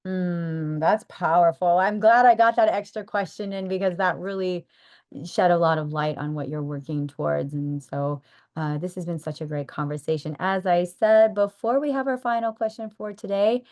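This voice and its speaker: Affirming American woman podcast host, low voice. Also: steady tone, moderate pace, lo-fi sound. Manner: warm, affirming, enthusiastic. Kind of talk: interview hosting.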